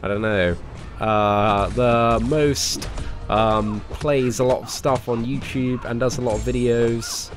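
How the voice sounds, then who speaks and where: A man's voice: medium pitch, steady tone, slow, soft background music. A young man live-streaming a mobile game, chatting casually with viewers while he plays, sounding a bit nasal from a cold. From England. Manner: chatty, many fillers, greets viewers by name. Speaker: gaming streamer.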